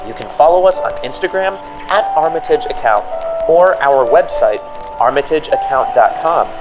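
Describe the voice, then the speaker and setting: A man's voice: fairly high pitch, expressive, moderate pace. A man reading scripted credits as the announcer of an audio production. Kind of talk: scripted narration. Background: loud music.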